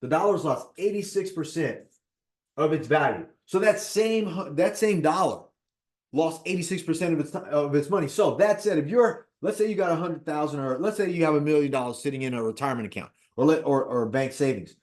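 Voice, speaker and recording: A man of fifty talking casually about personal finance as an investor, animated, repeating points and checking in with "right?". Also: fairly high pitch, fairly quick. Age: 50s. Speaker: real estate investor.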